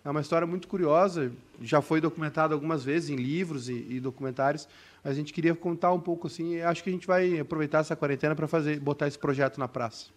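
A man with a southern Brazilian gaúcho accent, chatting casually and telling a story in a halting, rambling way, full of restarts and tag questions. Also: a little high.

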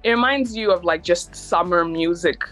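A woman's voice: fairly low pitch, moderate pace, a bit bright, soft background music, low-quality recording. A young woman, a recording artist, chatting casually in an interview and filling her talk with "like" and easy laughs. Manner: chatty, lots of "like" fillers, laughs easily.

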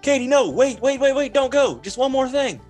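A folksy, overeager dad acted by a man delivers emphatic sales patter in a comedy ad skit. His voice is pushed up in pitch, with music behind it. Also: animated, moderate pace, close to an everyday voice. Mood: pleading. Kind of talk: podcast parody ad skit.

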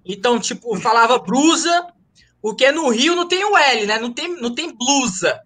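A man's voice: very high-pitched, animated, moderate pace, bright, forceful tone. A man with a Ceará accent, from Rio but raised in Ceará, telling the story of founding his running humor group in a casual, slangy way. He jokes as he goes and keeps tacking on tag questions. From Rio de Janeiro, raised in Ceará. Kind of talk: casual interview over a call.

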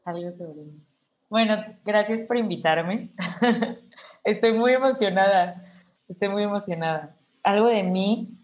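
A woman in her twenties, an actress, talking thoughtfully as a podcast guest, tired yet energetic, often ending phrases with a questioning tag. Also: mid-range voice, measured pace, long pauses, dull audio. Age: 20s. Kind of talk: reflective conversation.

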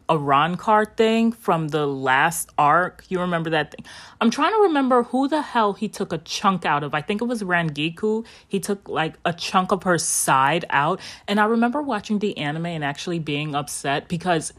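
A young adult woman hosting a casual podcast, rambling and animated as she recaps anime, with frequent restarts and casual swearing. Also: low voice. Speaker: podcast host.

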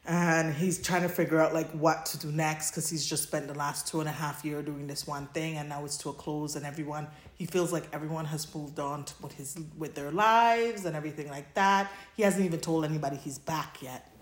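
A woman's voice: low voice, steady tone, a little fast, hardly any pauses, echoey room. A woman co-hosting a podcast in casual chat, recapping stories in an informal, conversational way. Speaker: podcast co-host.

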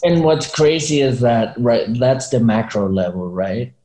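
A man from Chile chatting informally as a guest, thinking aloud in a halting, slangy way. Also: mid-range voice, expressive, noisy background. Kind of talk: casual chat. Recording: echoey room.

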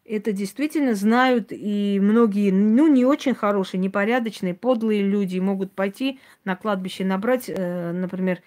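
A woman's voice: medium pitch, moderate pace, few pauses, a little mellow. Blunt, conversational woman. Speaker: host, self-described witch. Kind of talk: informal talk to live audience, answering comments.

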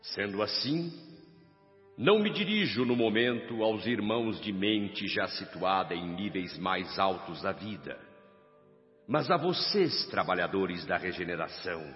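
A man's voice: low-pitched, expressive. Radio drama role: noble elderly statesman, oratorical and grave, dull recording with long reverb over music. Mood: earnest, exhorting. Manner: solemn, measured, oratorical. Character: noble, dignified old male spirit governor addressing his workers. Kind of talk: radio drama acting, formal speech.

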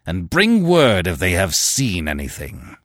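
A man's voice: low-pitched, wildly swooping pitch, measured pace, fairly bright tone, no room sound, clean studio sound, full character voice. A man doing a roaring, bull-voiced hill chief. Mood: commanding. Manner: roaring, growling, commanding. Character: burly bellowing hill-tribe chief, older man.

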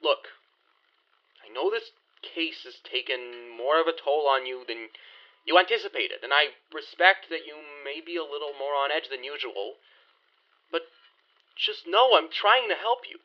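Guarded 1920s underworld fixer, slangy period drama delivery, higher male register, dulled lo-fi recording. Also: lively intonation, measured pace. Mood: earnest. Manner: slangy old-time gangster talk. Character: 1920s bootlegging-connected man, shrewd, guarded, wryly skeptical. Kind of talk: period audio drama acting.

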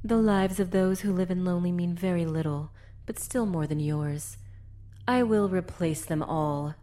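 A woman's voice: fairly low pitch, normal speed, warm tone, natural voice. A woman voicing a haughty, threatening spirit queen in an audiobook drama, imperious and condescending. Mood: menacing.

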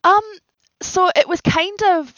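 A woman guest writer chatting in a casual interview, animated and a little self-deprecating, on slightly muffled audio. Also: extremely high pitch, slow, no echo. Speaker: guest fan fiction writer.

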